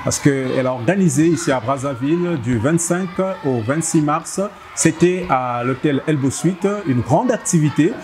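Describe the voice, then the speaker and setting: A man hosting a TV interview in a measured way, often adding short acknowledgements. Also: on the high side. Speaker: interviewer host. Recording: steady background noise.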